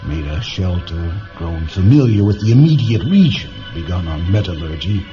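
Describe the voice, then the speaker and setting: A man playing a bitter, sharp-tongued, ailing psychic pilot in a science fiction radio drama, sarcastic and abrupt. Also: low-pitched, animated, moderate pace. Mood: earnest, intense. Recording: muffled lo-fi audio with music behind.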